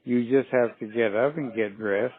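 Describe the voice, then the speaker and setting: A man's voice: mid-range voice, normal speed. Older man rambling on a phone line. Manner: folksy, rambling storyteller. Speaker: caller on a sales call. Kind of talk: casual phone conversation.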